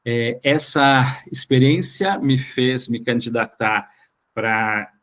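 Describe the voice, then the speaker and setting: A man with a Brazilian accent and a mid-range voice tells of his own experiences as a guest. He speaks in a halting way, repeating and rephrasing words as he goes. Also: mid-range voice, a bit bright. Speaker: interview guest. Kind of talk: recounting personal experiences in an interview.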